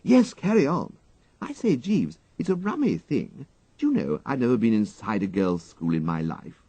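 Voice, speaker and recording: A man voicing a bumbling, kind-hearted young gentleman in a storybook, with a breezy, exclamatory upper-class English accent. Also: medium pitch, big pitch swings, moderate pace, slightly rough, no room sound, pitched above the performer's own voice. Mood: excited.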